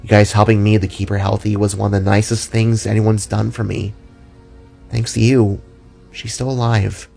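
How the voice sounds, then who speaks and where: A man's voice: on the low side, flat delivery, moderate pace, gravelly, mellow. A mischievous little lady frog vigilante , acted out by a man, speaks informally and affectionately. It is a storybook audio drama voice with music underneath. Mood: sad, grateful.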